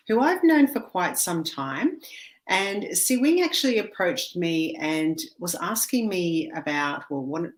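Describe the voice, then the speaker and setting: A woman hosting an interview, who talks conversationally as she introduces her guest and asks open questions. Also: on the low side. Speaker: host.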